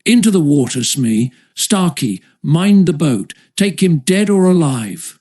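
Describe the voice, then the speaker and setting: A man's voice: fairly high pitch, big pitch swings, unhurried, darkish tone. A man voicing a domineering, villainous pirate captain in a storybook, barking orders. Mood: triumphant, commanding.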